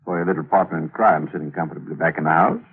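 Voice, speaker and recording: A man's voice: extremely low pitch, racing, breathy edge, full character voice. An actor in an old-time radio thriller playing a sly, gloating gunman who taunts with folksy, mocking friendliness. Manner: folksy, taunting, leisurely menace. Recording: tinny narrow-band old radio recording.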